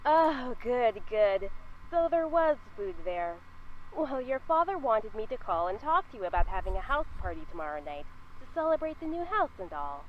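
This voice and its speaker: Busy, loving mom, visual novel: highish animated voice, dull phone sound. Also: female voice, moderate pace. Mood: affectionate, breezy. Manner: sweet, breezy, apologetic. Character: affectionate but busy mother on the phone. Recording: dull phone-filtered audio, faint noise.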